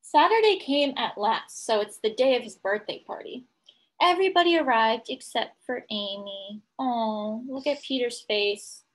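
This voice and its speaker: A woman teacher reading a picture book aloud to young children, pausing to explain things simply and ask questions. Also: fairly high pitch, expressive, poor audio. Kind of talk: children's read-aloud with commentary.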